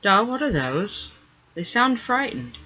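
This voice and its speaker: Excitable, wide-eyed storybook merboy played by a man, raised pitch, dull recording. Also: takes it slowly, exaggerated voice. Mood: worried. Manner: wide-eyed, pleading questions. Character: naive, excitable young merman boy.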